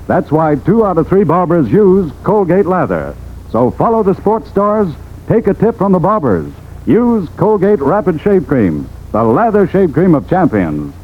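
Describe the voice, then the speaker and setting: A man's voice: high-pitched, sing-song, unhurried, a little hiss or hum. An American man announcing commercials on 1940s radio, with a punchy, emphatic salesman's delivery. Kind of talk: 1940s radio advertisement, scripted pitch. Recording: old 1940s radio, narrow band.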